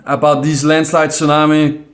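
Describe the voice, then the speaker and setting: A man's voice: on the high side, normal speed. A man with a Danish accent and Danish as his first language, talking earnestly into the camera in a casual monologue, full of fillers and restarts. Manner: hesitant, many fillers and restarts; calm, earnest. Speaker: independent video maker.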